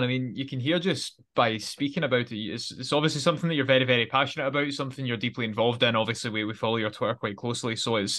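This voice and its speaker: A man co-hosts a casual podcast, friendly and chatty, often doubling words as he restarts his sentences. His voice is bright and forward, at an average pitch for a man. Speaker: podcast co-host.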